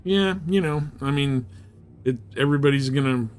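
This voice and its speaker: A man livestreaming a game, chatting casually in rambling, halting thoughts as he plays. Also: medium pitch, a little mellow, clear, resonant tone. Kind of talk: casual chat while playing. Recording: noticeable background noise and game sounds. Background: game ambience and ship computer announcements.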